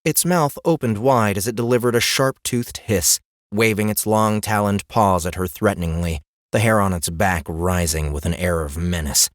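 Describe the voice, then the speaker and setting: Low-voiced male audiobook narrator, dry sound. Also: moderate pace, dry recording. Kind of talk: scripted fantasy story narration.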